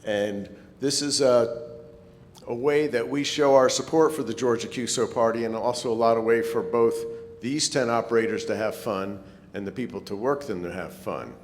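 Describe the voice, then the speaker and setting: Man, club presenter, informal talk, casual hesitant delivery, reverberant hall, faint rumble. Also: normal speed. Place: meeting hall. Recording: echoey hall, rumbling background noise.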